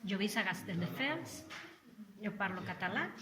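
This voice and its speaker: A woman's voice: mid-range voice, lively intonation, normal speed, noisy background. A woman from Cuba with a Cuban accent, a native Spanish speaker giving a simple self-introduction as a language student. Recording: echoey room with crowd chatter.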